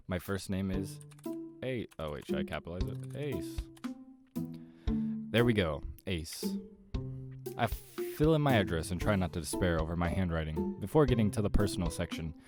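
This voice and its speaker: A man reading a visual novel aloud in an expressive, dramatized way, dropping in his own side comments. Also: sits low. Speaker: gaming content creator. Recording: music behind the voice.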